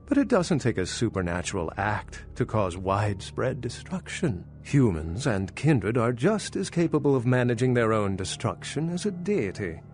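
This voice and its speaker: A man's voice: medium pitch, big pitch swings, normal speed, few pauses, a little mellow, faint music behind, close to an everyday voice. An actor playing a centuries-old vampire scholar in a video game, articulate, skeptical and dryly condescending as he lectures. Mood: lecturing, skeptical. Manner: articulate, lecturing, wry, pedantic. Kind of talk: video game dialogue acting.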